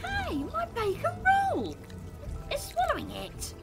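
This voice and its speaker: A woman's voice: very high-pitched, huge pitch swings, slow pace, gravelly, over music, heavily acted. A young woman playing a defensive, self-deprecating botany student in an audio drama. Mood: outraged.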